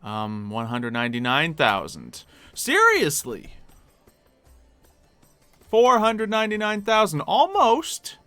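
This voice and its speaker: A man's voice: fairly high pitch, huge pitch swings, takes it slowly, pushed and bright, no room sound, soft background music. A man with a slight Philadelphia accent chatting casually on a live stream while he plays, musing aloud and laughing easily.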